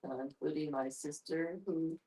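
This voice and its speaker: A woman's voice: sits low, fairly even intonation, normal speed, mellow, poor audio. A woman sharing personal experience conversationally in a group discussion. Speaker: audience participant.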